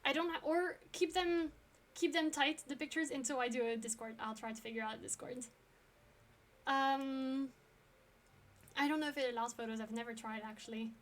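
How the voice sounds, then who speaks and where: A young woman from France talks to her stream chat in a relaxed, rambling way. Her voice sits high, and she fills pauses with ums and quick laughs. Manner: chatty, frequent ums and laughs. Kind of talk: casual chat with viewers.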